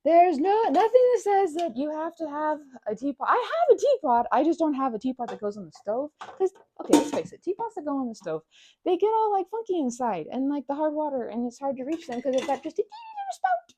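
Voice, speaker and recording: A woman chatting casually to the listener as a best friend in an ASMR roleplay, bubbly and expressive, rambling and changing course mid-thought. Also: high-pitched, a little fast, slight background noise.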